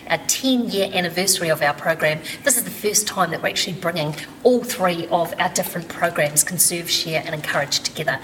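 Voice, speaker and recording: A woman's voice: fairly low pitch, a bit bright, slight background noise, rough recording. A woman, a charity chief executive, giving an enthusiastic welcome speech with a few fillers.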